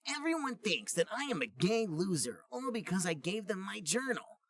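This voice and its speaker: A man's voice: high voice, sing-song, moderate pace, no room sound, studio quality, voice pushed up, exaggerated voice. A man voicing a dramatic, sulky young cartoon hedgehog hero in an overwrought way for a comic reading. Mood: miserable. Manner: overwrought, shouty, melodramatic. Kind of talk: parody fan-fiction character reading.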